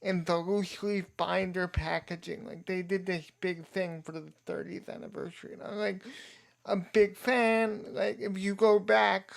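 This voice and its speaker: A man's voice: high-pitched, fairly even intonation, a little slow, vocal fry. A man chatting casually as a guest on a podcast, a podcaster himself. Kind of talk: casual chat.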